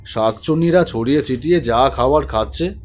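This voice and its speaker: A man's voice: medium pitch, brisk. A man narrating a spooky cartoon story in a dramatic, suspenseful way.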